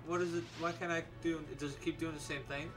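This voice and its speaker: A man's voice: on the high side, flat delivery, fast. A man co-hosting a game playthrough in casual, playful banter, often repeating short phrases. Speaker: let's play co-host and player. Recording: game music behind the voice. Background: Pokemon battle game music.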